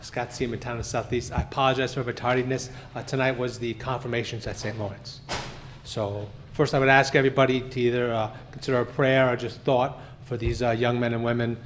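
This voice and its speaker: A man speaking during public comment at a town board meeting, halting with many fillers. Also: mid-range voice, level tone, slight background noise. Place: town hall meeting room.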